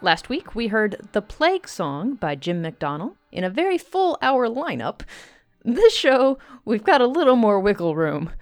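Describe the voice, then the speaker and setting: A woman's voice: fairly high pitch, big pitch swings, a little slow. A woman hosting a radio drama show, reading a scripted introduction in a polished presenter manner. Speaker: host. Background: music.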